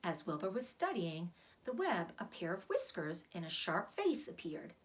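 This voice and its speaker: A woman narrating a children's storybook aloud with expressive, careful phrasing. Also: on the low side, moderate pace. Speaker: read-aloud reader, teacher.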